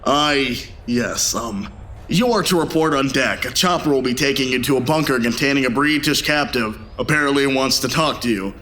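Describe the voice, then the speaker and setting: A man playing a stern ship's captain in an audio drama, grave, measured and commanding. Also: mid-range voice, pushed and bright, a little hiss or hum, close to an everyday voice. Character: stern, authoritative male military ship captain.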